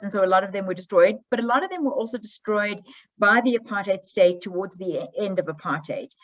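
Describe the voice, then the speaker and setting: A woman's voice: mid-range voice, dull audio. South African woman giving a casual talk. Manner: conversational, hesitant with frequent ums and restarts. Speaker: history professor. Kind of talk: online academic presentation. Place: holiday home.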